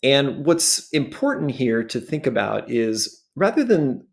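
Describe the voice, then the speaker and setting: A man presents a walkthrough in an explanatory way. His voice sits at an average pitch with a good deal of vocal fry, and he breaks his commentary into short phrases. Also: very animated, unhurried. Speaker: show host and presenter.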